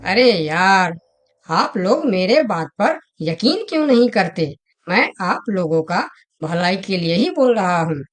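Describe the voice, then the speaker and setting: Woman voicing a clever, animated cartoon monkey. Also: mid-range voice, slow. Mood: insistent, pleading. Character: clever, cheeky male monkey, exasperated but confident. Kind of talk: Hindi cartoon story dialogue.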